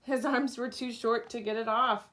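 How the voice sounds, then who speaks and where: Expressive woman reading a children's story. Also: on the high side. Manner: deliberate dramatic pauses, acts out characters. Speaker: storybook reader, teacher. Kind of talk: expressive children's picture book read-aloud.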